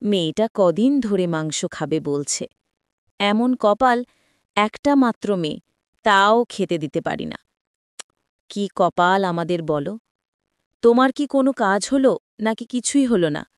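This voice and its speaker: A woman's voice: medium pitch, expressive, a little slow, long pauses, no room sound, clean studio sound, close to an everyday voice. A woman voicing a poor, worried, humble village mother in a plaintive way for a cartoon. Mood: sorrowful. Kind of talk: Bangla cartoon story dubbing.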